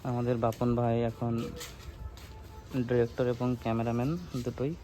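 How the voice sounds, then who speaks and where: A man's voice: mid-range voice, monotone, moderate pace, over music, rough recording. A man hosting a casual behind-the-scenes video, talking straight to viewers and often repeating his phrases. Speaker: vlog host.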